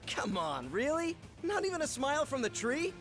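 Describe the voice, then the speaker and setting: A man's voice: very high-pitched, wildly swooping pitch, heavily acted. An actor playing a wisecracking young male superhero who quips lightly, in a game cutscene with music. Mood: joking. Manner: joking, quick quips.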